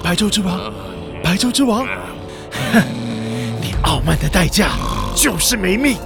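A scheming, gloating male villain from radio drama, played by an actor. His high voice is full of gravelly creak and theatrical menace. Music plays behind him. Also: big pitch swings, a little slow, heavily acted.

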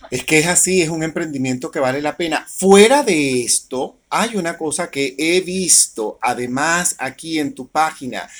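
A man's voice: on the high side, a bit bright. A man hosting an online talk show, interviewing a guest with effusive enthusiasm. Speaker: host.

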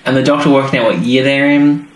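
A man's voice: mid-range voice, steady tone, quick pace. Man chatting casually in an echoey room. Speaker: podcast co-host. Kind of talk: casual chat reviewing a TV serial. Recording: echoey room with background noise.

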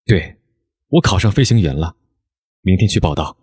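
A man playing a brooding, rebellious high-school boy in an audio drama, speaking in a curt, aloof way. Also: expressive, normal speed, darkish tone, a little hiss or hum. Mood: brusque.

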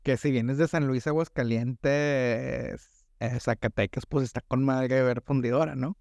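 Casting: young Monterrey man, podcast co-host, smooth clear voice, rambling and slangy, dry close mic. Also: mid-range voice, fairly even intonation, measured pace, darkish tone, dry recording. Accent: northern Mexican (Monterrey). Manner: slangy, rambling, says "güey" constantly. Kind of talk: casual chat.

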